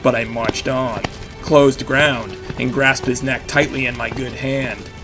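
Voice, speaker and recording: A man's voice: mid-range voice, natural voice. An actor playing a battered vigilante who narrates his own story in intense, clipped phrases, in the style of an audio drama. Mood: intense, brutal. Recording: music behind the voice. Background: dramatic music.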